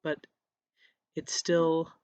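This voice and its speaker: A painter with a cold, hoarse and scratchy, casually narrating a voiceover of their painting process with halting restarts. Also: medium pitch, monotone, darkish tone, no echo, dull audio. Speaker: encaustic painter. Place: voiceover recorded apart from the footage.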